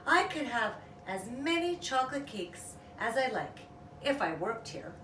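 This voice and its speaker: A woman's voice: a little high, big pitch swings, unhurried, echoey room, far from the mic, noisy background, pitched above the performer's own voice. A woman voicing a big, clumsy, earnest male moose in a storybook reading. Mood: eager.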